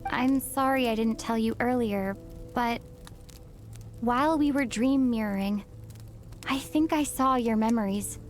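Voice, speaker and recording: A woman playing an earnest, gentle young traveler in a video game, speaking in a hesitant, questioning way. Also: fairly high pitch, a little slow, long pauses, natural voice. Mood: apologetic. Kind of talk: video game character acting. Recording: faint background music.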